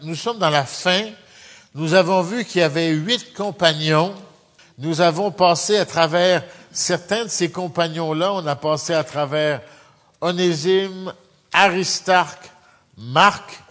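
Guy from france leading bible study, kinda high voice, talks casual and asks the room stuff, echoey hall. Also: unhurried, a bit bright. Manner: conversational, asks the audience questions. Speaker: pastor.

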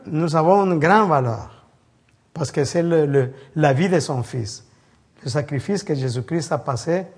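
A man's voice: fairly high pitch, darkish tone, husky. A man from El Salvador preaching an informal sermon to a small congregation, telling stories with frequent restarts and searching for words. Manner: halting, many restarts, storytelling.

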